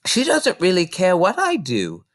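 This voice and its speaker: A man's voice: sits high, huge pitch swings, a little slow, fairly bright tone, dry recording, pitched above the performer's own voice, heavily acted. A small bookish girl, acted out by a man, speaks in a raised, lilting voice. She is polite and frank, with a childlike eagerness suited to a children's storybook. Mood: breezy.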